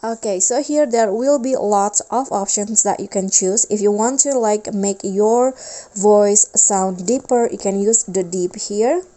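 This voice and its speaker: A woman hosts a casual tutorial, explaining things step by step in an informal way. Her voice is mellow and soft-edged, at a medium pitch. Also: unhurried. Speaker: tutorial host. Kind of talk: casual video app tutorial narration.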